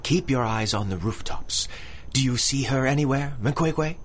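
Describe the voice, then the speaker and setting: A man's voice: mid-range voice, normal speed, soft background music, lightly acted. A man playing a courtly, sinister big-game hunter villain in a 1930s-style radio drama, speaking formally and theatrically. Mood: intent, eager.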